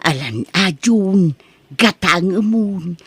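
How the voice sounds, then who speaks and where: A woman's voice: on the low side, animated, takes it slowly, a bit bright, slight background noise. A woman playing a stubborn, gullible middle-aged mother with a wheedling, exclamatory delivery in a radio-style comedy drama.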